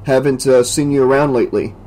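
A reader voicing an easygoing, skeptical teenage boy who talks in halting bits. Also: mid-range voice, warm tone, natural voice. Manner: hesitant, halting.

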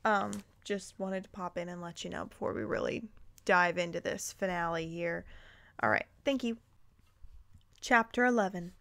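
A woman reading a story aloud informally, breaking in with expressive, amused reactions and exclamations. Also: on the low side, normal speed, vocal fry, no room sound. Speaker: host reading fan fiction aloud with commentary.

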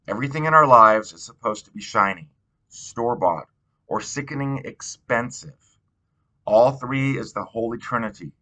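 A man reading a novel aloud in an expressive storytelling style. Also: medium pitch, moderate pace, low-quality recording. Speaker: audiobook reader.

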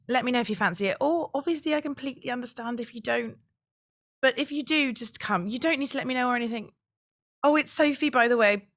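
A woman's voice: sits high, a bit bright. An actress playing an awkward, self-effacing young woman in a naturalistic radio drama, tentative and rambling.

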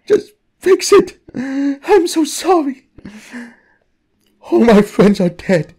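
A panicked young internet prankster , acted by a man, sick with remorse in a horror story. The high voice swoops as he pleads haltingly and tearfully for someone to help. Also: big pitch swings, darkish tone, heavily acted. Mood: tearful, desperate.

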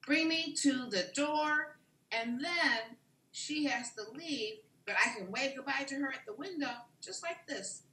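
Casting: woman as earnest young child puppet, high and slow, echoey video call. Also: pushed and bright. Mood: earnest, unsure. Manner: simple, deliberate child-like speech.

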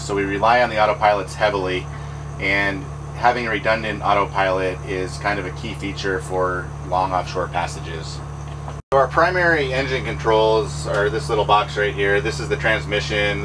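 Boat owner, male, lowish voice, easygoing explainer over steady engine noise. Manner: relaxed, practical, with fillers. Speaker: boat owner giving a tour. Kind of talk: casual explanatory walkthrough. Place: pilot house of a trawler yacht underway.

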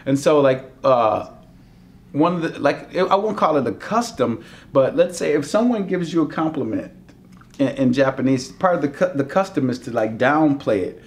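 A man's voice: medium pitch, moderate pace. A middle-aged man, a voice actor, chatting in an interview, acting out quotes and laughing easily in a dim hotel room. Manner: animated storyteller, giddy laughter, acts out quotes. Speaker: voice actor guest. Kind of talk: casual interview chat.

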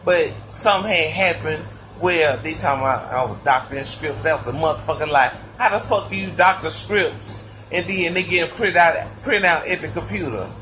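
A woman with a deep voice talking bluntly and profanely to her viewers while lying in bed, speaking into a phone. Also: normal speed, a bit bright, a little hiss or hum. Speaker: live streamer telling her story. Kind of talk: casual monologue to viewers. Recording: played from her live stream.

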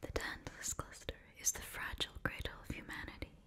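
A woman's voice: fast, pushed and bright. A young woman reading scripted material in an ASMR whisper, close to the microphone. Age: young adult. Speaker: ASMR creator reading a sponsor message.

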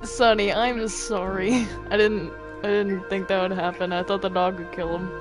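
A giggly, excitable woman chatting casually with friends while playing a game. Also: mid-range voice, moderate pace, over music. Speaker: player in a group gaming roleplay series. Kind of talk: casual gaming chat with friends.

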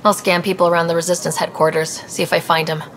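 A woman playing a young, calm and direct space explorer in video game dialogue. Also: on the low side, fast, a little hiss or hum. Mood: calm, firm. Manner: straightforward, calm.

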